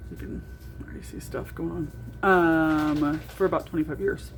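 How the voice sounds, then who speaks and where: Loud-voiced middle-aged woman, rambling vlog. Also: low voice, steady tone, normal speed, slight background noise. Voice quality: naturally loud voice. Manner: rambling, with frequent ums and restarts. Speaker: vlogger. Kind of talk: casual video diary.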